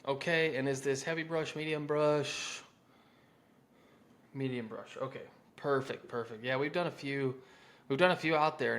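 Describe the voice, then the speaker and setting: A man's voice: medium pitch, a bit bright. Informal American man coaching on sales. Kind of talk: instructional talk with acted sample phone-script lines.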